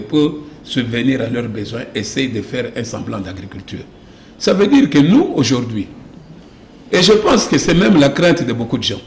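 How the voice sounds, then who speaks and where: A man from DR Congo with a Congolese French accent, speaking insistently as a political interview guest, repeating phrases and posing rhetorical questions. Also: mid-range voice. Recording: roomy echo with clear background noise.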